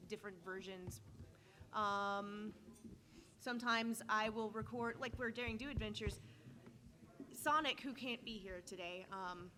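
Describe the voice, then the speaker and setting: A woman with a bright, forward voice and medium pitch talks casually on a voice acting panel. She speaks through a microphone in a meeting room. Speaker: voice actor on a fan convention panel. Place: indoor convention meeting room. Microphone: handheld or table microphone.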